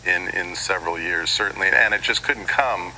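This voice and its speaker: A man's voice: deep voice, moderate pace, noisy background. An American man giving live, explanatory weather commentary on a newscast, digressing and correcting himself as he goes.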